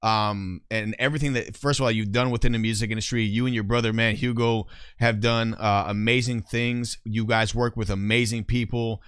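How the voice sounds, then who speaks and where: A man's voice: lowish voice, steady tone, moderate pace, a bit bright, clean tone, dry recording, clean studio sound. An American man hosting a podcast interview in casual, slangy, effusive talk, often addressing his guest as "man". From Miami, United States.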